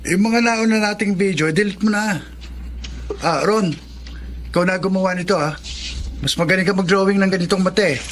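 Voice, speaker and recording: An actor playing a practical young Filipino friend and fellow artist in a radio drama. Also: male voice, sits high, a little fast, pauses often, a bit bright, slight background noise. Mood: matter-of-fact.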